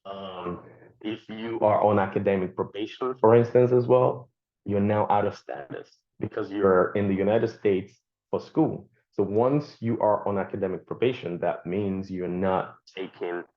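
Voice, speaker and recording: A man with a Nigerian accent, a guest answering interview questions in a halting way, with many fillers and repeated words. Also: on the low side. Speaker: guest speaker. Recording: dull lo-fi sound.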